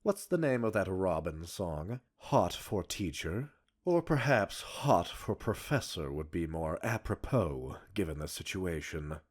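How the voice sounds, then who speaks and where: A man's voice: low voice, very animated, unhurried, exaggerated voice. A man playing a smug, arrogant male professor in an ASMR roleplay, erudite and teasing, flirtatious and condescending as he talks like a scientist. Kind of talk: ASMR roleplay audio drama.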